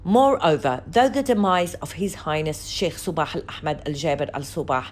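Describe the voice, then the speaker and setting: A woman narrates a scripted news report in a low register. Her delivery is formal and measured, with emphasis placed on each item as she lists it.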